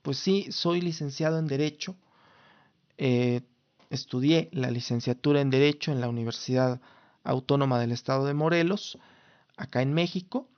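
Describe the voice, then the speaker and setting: A man's voice: mid-range voice, normal speed, lo-fi sound. A Mexican man in his thirties from Mexico City, hosting his own podcast in a formal, lawyerly way with frequent restarts. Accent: Mexican Spanish. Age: 30s. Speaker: podcast host. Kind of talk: casual solo podcast talk.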